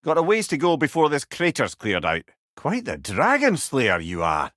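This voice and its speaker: A witty, learned older Scottish man as a talking severed head in a video game. His delivery is animated and wry, with eloquent old-fashioned turns of phrase, recorded very dry and close. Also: medium pitch, moderate pace, no room sound. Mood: dryly amused. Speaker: companion character in a video game. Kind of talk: video game character dialogue.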